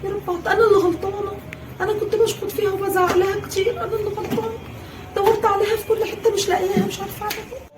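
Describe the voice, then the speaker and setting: A woman's voice: sits high, rapid-fire, noisy background, lightly acted. Woman playing a fussy Egyptian stepmother. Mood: panicked. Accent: Egyptian Arabic. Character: fussy stepmother, doting then scolding. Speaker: actor in a family skit. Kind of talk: home-made family skit. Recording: voice a little far from the mic.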